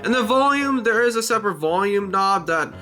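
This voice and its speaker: A man's voice: high voice, expressive, a little fast, sharp tone, soft background music. A man talking casually and hesitantly in a rambling, informal vlog while demonstrating something. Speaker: video creator.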